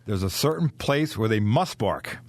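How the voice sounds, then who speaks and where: A man with a fairly low voice explains working-dog trials in earnest, thorough detail. He is a professional trainer speaking as an interview guest. The sound is dry and close, with no room around it. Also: expressive, moderate pace, no room sound. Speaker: dog trainer, kennel owner.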